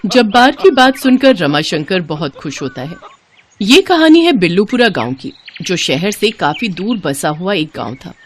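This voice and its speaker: Woman storyteller, lively swooping intonation, scripted children's story narration. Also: medium pitch, moderate pace.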